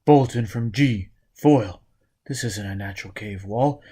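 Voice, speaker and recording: Man playing a gruff, driven escaped convict. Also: fairly low pitch, unhurried. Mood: gruff, urgent. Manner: terse, clipped, forceful, uneducated grammar.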